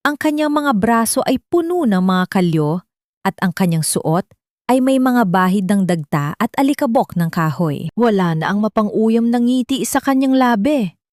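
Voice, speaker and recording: A woman reading a scripted dramatic story in a measured, sentimental, literary manner. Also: fairly high pitch, lively intonation, darkish tone, no echo, studio quality. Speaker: narrator of audio love stories.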